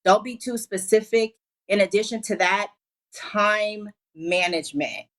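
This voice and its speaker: A woman from Liberia in her forties, a guest on a TV talk show, upbeat and laying out her advice in clear numbered tips. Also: medium pitch, measured pace. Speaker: entrepreneur guest.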